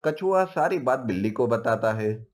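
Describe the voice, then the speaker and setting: A man's voice: medium pitch, lively intonation, normal speed. A man giving scripted narration for a children's moral story.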